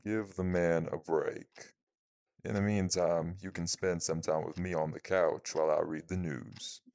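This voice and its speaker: An actor playing an imposing, protective vampire man in an audio roleplay, dry-witted, haughty and measured, with a gravelly voice. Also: low voice, steady tone, darkish tone, slight background noise. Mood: teasing, haughty.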